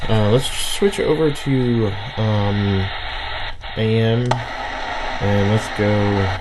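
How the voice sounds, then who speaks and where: A man with a fairly low voice talks through a gadget demo in an offhand, unscripted way. He hesitates and thinks out loud as he works. There is clear background noise in the recording. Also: fairly even intonation, extremely slow. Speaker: hobbyist radio reviewer.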